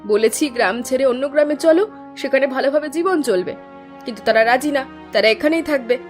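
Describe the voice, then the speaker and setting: A woman acting as a young village wife in a cartoon folk tale. Her voice is high, and she is impatient and curt, complaining as she talks. Music plays behind her. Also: brisk, close to an everyday voice. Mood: bitter, complaining. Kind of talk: Bengali animated folk-tale dialogue.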